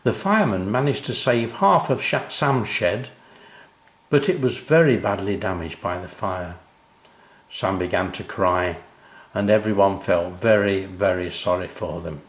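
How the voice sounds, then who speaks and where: An older man narrating his own children's story in an expressive storytelling style. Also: low voice, unhurried, echoey room, low-quality recording.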